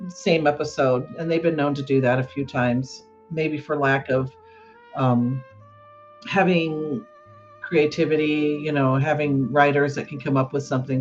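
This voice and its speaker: A woman hosting a podcast, giving casual solo commentary in a halting way. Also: sits low, dull audio. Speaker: podcast host.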